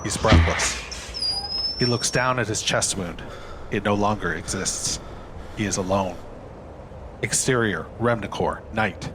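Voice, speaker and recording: A man's voice: on the low side, unhurried, pauses often, background noise. A man giving scripted narration of a screenplay, reading scene headings and action as a narrator.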